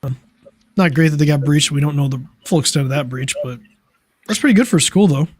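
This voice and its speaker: A man's voice: a little high, brisk, dry recording. Tired man hosting a podcast. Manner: relaxed, loose, many fillers. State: tired, with allergies. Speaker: podcast host. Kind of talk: casual chat.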